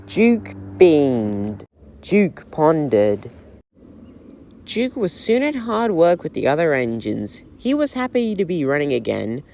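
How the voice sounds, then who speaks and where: A man narrating a railway storybook as a scripted read, in a slow, gentle storytelling manner. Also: fairly high pitch.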